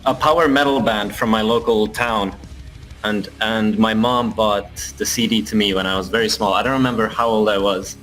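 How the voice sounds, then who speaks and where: Low-voiced young guitarist. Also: male voice, fairly even intonation, moderate pace, a bit bright, soft background music, low-quality recording. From Finland. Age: 20s. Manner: thoughtful, hesitant. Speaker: guitarist in a rock band. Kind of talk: interview answers.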